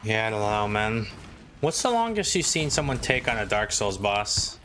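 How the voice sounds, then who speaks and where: A man's voice: lowish voice, big pitch swings, moderate pace, a bit bright. A man doing casual, slangy, sweary gameplay commentary and chatting with his viewers. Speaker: video game livestreamer. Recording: clear game noise behind voice. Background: video game sound.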